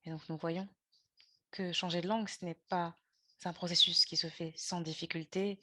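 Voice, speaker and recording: A woman delivers a lecture in an online webinar, her voice low-pitched and heard dry with no room sound. She talks haltingly, filling pauses and correcting herself mid-word as she works through her points. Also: flat delivery, pushed and bright, dry recording. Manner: halting, with frequent fillers and self-corrections. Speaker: webinar presenter. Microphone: video call.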